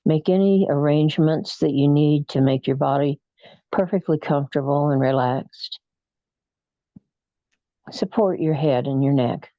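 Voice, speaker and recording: A person leading a guided meditation in a soothing, unhurried, hypnotic manner with frequent pauses. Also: medium pitch, dry recording, lo-fi sound. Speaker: guided imagery meditation leader.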